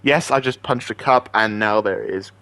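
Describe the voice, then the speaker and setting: A man's voice: lowish voice, normal speed, bright, forceful tone, a little hiss or hum. A man hosting as an amateur voice impressionist, chatting casually to viewers in a rambling, self-deprecating way. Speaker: amateur voice impressionist and video host.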